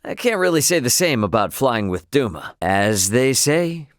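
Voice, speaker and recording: A man's voice: mid-range voice, sing-song, normal speed, no room sound, studio quality, close to an everyday voice. An actor playing an easygoing, cocky young male starship pilot and swordsman in a video game, with breezy, slangy, informal delivery. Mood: easygoing, friendly.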